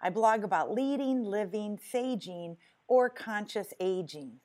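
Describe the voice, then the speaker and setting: A woman's voice: mid-range voice, lively intonation, a little slow, a little mellow. A woman in her sixties hosting a podcast interview, enthusiastic as she introduces her guest. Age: 60s. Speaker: podcast host.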